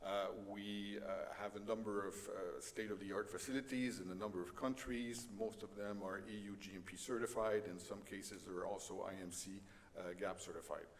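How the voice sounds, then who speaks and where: A middle-aged man with a French Canadian accent gives a conference presentation in a halting way, with many fillers and light self-deprecating humor. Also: lowish voice, fairly even intonation. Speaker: conference speaker. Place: conference hall. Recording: reverberant conference hall with faint noise.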